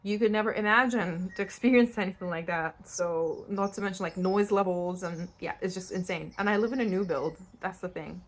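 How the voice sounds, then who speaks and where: A woman's voice: medium pitch, a little fast, hardly any pauses. A woman from Lithuania, giving an unscripted tarot reading in a blunt, conversational way, speaking straight to the listener. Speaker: tarot reader hosting her own channel. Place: indoors at home in a new-build house.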